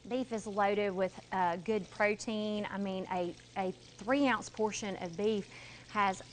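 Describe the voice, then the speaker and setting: A woman's voice: mid-range voice, steady tone, slow, a little hiss or hum. Polite woman explaining a recipe. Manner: polite, eager, agrees often with yes sir. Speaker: registered dietitian guest. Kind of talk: TV cooking demo explanation. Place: TV studio kitchen set.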